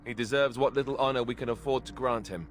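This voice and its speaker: An earnest young male warrior from a fantasy video game, voiced by an actor. His mid-range voice is sincere and measured, with a loyal, serious temper. Light game ambience and noise lie behind it. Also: medium pitch, natural voice. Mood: solemn grief.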